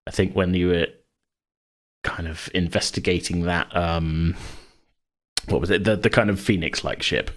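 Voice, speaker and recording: Low-voiced man in rambling casual chat. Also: fairly even intonation, moderate pace, fairly bright tone. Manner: rambling, thinking aloud. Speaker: podcast host and game master.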